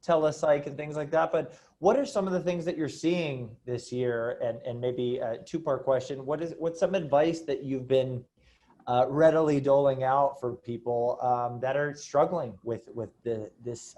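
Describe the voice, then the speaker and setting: A man's voice: mid-range voice, normal speed, dark tone. Friendly young male interview host. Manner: friendly, stumbling restarts, affirming interjections. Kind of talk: casual interview hosting.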